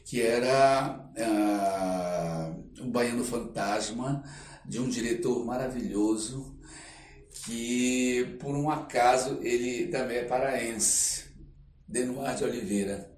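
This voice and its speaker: An older man with a Brazilian accent, telling anecdotes as an interview guest in a halting, reflective, casual way. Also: mid-range voice, a little mellow, a little hiss or hum. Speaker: interview guest, actor and screenwriter. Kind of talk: casual podcast storytelling. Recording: roomy echo, distant microphone.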